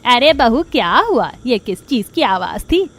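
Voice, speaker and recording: महिला की आवाज़ में कंजूस, रौबदार सास, ऊँचा सुर. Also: female voice, on the high side, sing-song, measured pace, a bit bright, full character voice. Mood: bossy. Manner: commanding, dismissive. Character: stingy, bossy middle-aged mother-in-law. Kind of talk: Hindi family cartoon dubbing.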